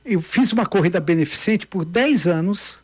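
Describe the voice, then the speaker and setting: An older Brazilian man, a marathon runner, telling animated anecdotes in a casual chat, often restarting his sentences. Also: high voice, normal speed, breathy edge, no echo. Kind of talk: casual storytelling.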